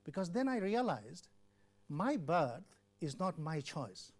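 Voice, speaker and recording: A man's voice: on the high side, big pitch swings, slow pace, slightly rough. Earnest older man telling his story. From Bangladesh. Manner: earnest, repeats words, acts out quoted dialogue. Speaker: former WHO country representative. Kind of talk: interview, personal storytelling.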